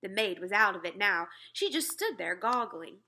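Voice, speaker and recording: A woman narrating a children's storybook aloud in an expressive style. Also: mid-range voice, normal speed.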